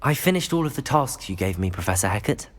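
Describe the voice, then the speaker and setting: An actor playing a respectful, curious young wizard student in a video game, polite and earnest. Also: male voice, lowish voice, big pitch swings, fast talker, faint music behind. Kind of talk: cinematic video game acting.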